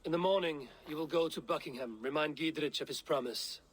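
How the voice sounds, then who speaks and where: An actor playing a resolute, loyal Viking warrior leader in a video game, blunt and earnest in manner. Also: male voice, a little high, brisk, natural voice, rough recording. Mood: resolute, commanding. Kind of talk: dramatic video game acting.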